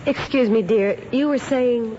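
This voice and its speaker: An actress playing a gentle, attentive middle-aged mother in a family drama. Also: on the high side, a little slow, natural voice. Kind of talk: vintage American family drama acting. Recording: muffled old recording, faint noise.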